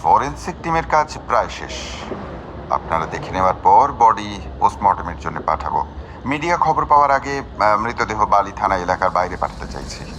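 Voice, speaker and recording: A low-pitched male police officer briefs in a detective radio play. He is cooperative and deliberate, pausing between facts. Vehicle-like ambience sits behind his voice. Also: big pitch swings, fairly bright tone, a little hiss or hum. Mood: factual, measured. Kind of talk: Bengali radio detective drama acting.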